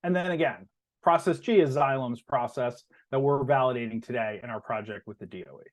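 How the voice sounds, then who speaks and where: A man giving an explanatory business presentation as a company executive, phrasing deliberately and stressing key terms. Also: medium pitch.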